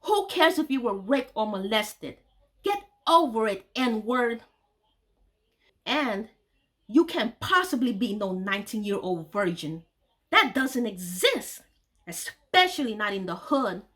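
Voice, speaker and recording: A woman's voice: on the high side, sing-song, takes it slowly, fairly bright tone, exaggerated voice. A woman voicing a cold, dismissive older woman doctor who speaks curtly and icily. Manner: icy, curt and dismissive.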